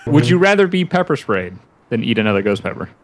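A man with a middling pitch chats casually on a group video call. He banters and teases in a jokey, informal way, like a friend among friends. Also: very animated, fast. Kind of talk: casual chat.

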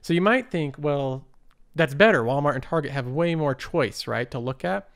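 A man's voice: on the high side, moderate pace, vocal fry. A young man hosting an investing show, explaining a company in a casual, upbeat, step-by-step way over slides. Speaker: investing channel host.

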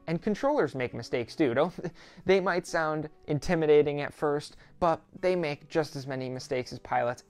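A man's voice: on the high side, clear, resonant tone. Reassuring teenage boy explaining, music behind. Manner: reassuring, conversational. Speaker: student pilot YouTuber, host. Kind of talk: explanatory talk to camera. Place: small quiet home office, indoors.

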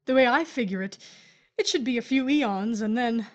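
A shrewd widow, acted by a woman for an audio horror drama. Her voice sits fairly high, and she is coolly vengeful and in command. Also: normal speed, lo-fi sound, close to an everyday voice. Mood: smugly assured. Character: shrewd vengeful widow, calmly taking charge of her husband.

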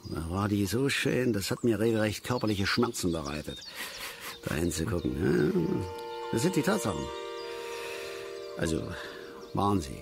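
An actor playing a stubborn, self-pitying middle-aged angler who grumbles and stammers self-righteously in a naturalistic radio play. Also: male voice, lowish voice, very animated, normal speed, vocal fry, long pauses, music in the background. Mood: bitter.

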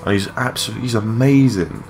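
A young man with a low voice giving rambling, matey, sweary gameplay commentary in a casual unscripted way. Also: fast, darkish tone. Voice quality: low-pitched voice. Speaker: gaming video creator. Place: his room at home. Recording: clearly noisy home mic recording.

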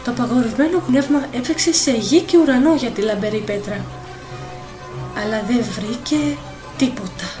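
A woman narrator reading a dramatic, scripted fairy tale for an animated film. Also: a little high. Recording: voice over clear film music.

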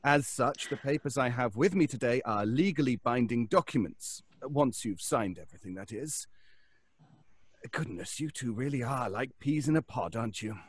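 A fussy estate lawyer in an audio drama. He is formal, courteous and upbeat, with lively swooping intonation and a close, dry sound. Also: mid-range voice, normal speed, breathy edge, dry recording. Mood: formal, officious.

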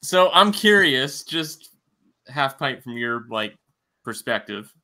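A man's voice: medium pitch, big pitch swings, slow pace, bright, forceful tone. A man on a video call asking a curious question in a careful, hedging way. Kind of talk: casual video call chat.

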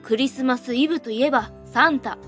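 Woman doing a cocky anime witch girl, high voice, brash boyish talk, mischievous. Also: fairly quick, a bit bright, soft background music. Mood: cocky, scheming. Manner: brash, boyish rough speech. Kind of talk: anime-style fan voice drama.

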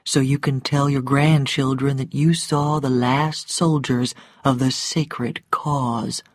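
A woman's voice: rumbling low, steady tone, slow, no room sound, clean studio sound, voice pushed down, full character voice. A woman voicing a suave, mocking man in a story, cool, ironic and polite. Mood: bitter, ironic. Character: suave, mocking adult male rogue, cool and teasing.

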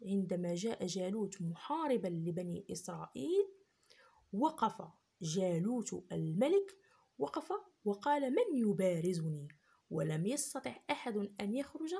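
A woman retelling a religious story for young children, gently explaining and repeating herself as she goes. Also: mid-range voice, animated, normal speed, slightly rough. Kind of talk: unscripted storytelling for kids.

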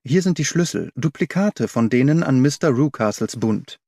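A man's voice: medium pitch, lively intonation, normal speed, mellow, no echo, studio quality. A man voicing an earnest, anxious young governess in an audiobook, speaking eagerly and emphatically.

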